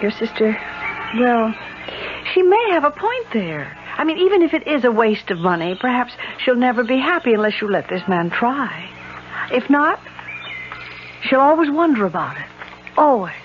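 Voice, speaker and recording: A woman's voice: on the high side, very animated, moderate pace. Kindly lady, courteous, tentative, 1970s radio play, dull noisy sound. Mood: gently persuasive. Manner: hesitant, polite, gently probing. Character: kindly, well-meaning well-off city lady. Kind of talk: 1970s radio drama acting.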